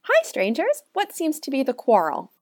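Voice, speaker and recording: Woman playing a gushing, high-voiced romance heroine. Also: huge pitch swings, moderate pace. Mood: gushing, earnest. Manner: earnest, over-sweet. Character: gushing, lovestruck career woman in holiday-romance parody. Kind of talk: parody radio play acting.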